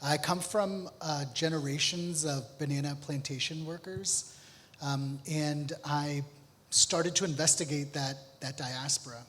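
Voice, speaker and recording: A man giving an informal artist talk with slides, speaking in a halting, reflective way. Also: fairly high pitch, steady tone, fairly bright tone. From Honduras and United States. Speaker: artist on a panel. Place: theatre, live panel event. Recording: echoey hall with long reverb.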